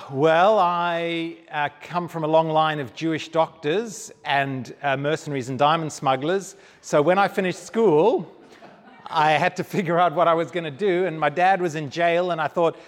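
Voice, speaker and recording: Male guest speaker from Zambia, wry and self-mocking, slightly higher voice, long hall reverb. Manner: humorous, self-deprecating. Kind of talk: onstage interview, storytelling.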